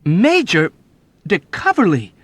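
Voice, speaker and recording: A man's voice: on the high side, wildly swooping pitch, moderate pace, no room sound, voice pushed up, heavily acted. A man doing an outraged, earnest young soldier. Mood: indignant. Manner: loud, outraged, stammering protests.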